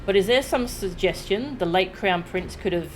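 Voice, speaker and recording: A woman's voice: medium pitch, normal speed, faint music behind, close to an everyday voice. A woman voicing a suave, wry male rogue adventurer, reading video game dialogue with wordy, dry wit. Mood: wry, casual. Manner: wordy, dryly witty. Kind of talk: dramatic read-aloud of video game dialogue.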